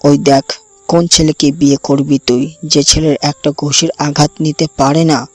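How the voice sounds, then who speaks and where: A man's voice: a little high, level tone, normal speed, dry recording, lightly acted. A man playing a possessive, threatening young campus bully in an audio drama, speaking with commanding contempt. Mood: scornful, aggressive. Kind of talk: audio story acting.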